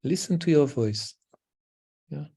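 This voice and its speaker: A man speaking gently and slowly as a parenting coach in a webinar talk over a video call, often repeating words softly. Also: on the high side, expressive, creaky, husky.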